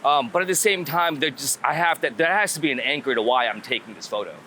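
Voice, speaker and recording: Bright-voiced man chatting casually on the street. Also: medium pitch. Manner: halting, thinking aloud, repeats words. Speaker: street photographer guest. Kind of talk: casual walk-and-talk interview. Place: outdoors on a city street. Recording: outdoor street recording with city traffic noise. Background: urban ambience and vehicles.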